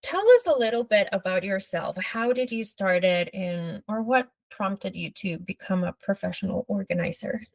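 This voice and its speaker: A woman hosts a podcast interview, introducing her guest and stepping in to explain things in a friendly way. Her voice is mid-pitched. It is recorded dry and close. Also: lively intonation, normal speed, gravelly, few pauses, dry recording. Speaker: podcast host.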